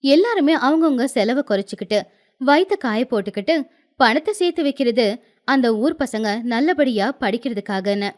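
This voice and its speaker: A woman's voice: high voice, expressive, moderate pace. A woman narrating a scripted moral tale as a storyteller.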